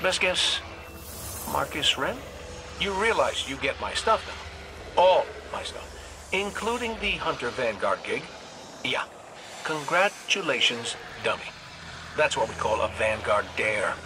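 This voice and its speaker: An actor playing a cocky, wisecracking robot gunslinger in a video game, with an American accent and a wry, folksy, sardonic way of talking. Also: male voice, medium pitch, animated, unhurried, long pauses, noisy background, lightly acted. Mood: mock-instructive. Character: cocky wisecracking male robot gunslinger, roguish hunter leader. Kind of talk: video game voice acting, recorded farewell messages.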